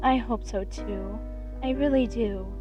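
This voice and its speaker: A woman's voice: on the high side, unhurried, highs cut off, close to an everyday voice. A woman voicing an affectionate, teasing pony mother in a cartoon fan-fiction reading. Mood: hopeful. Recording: music under the voice.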